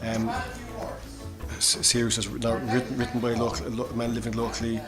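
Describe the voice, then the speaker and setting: Rapid, stammering Irish man, rather flat. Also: medium pitch. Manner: stammering, repeats words often. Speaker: community radio development officer. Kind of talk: interview answers. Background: loud music.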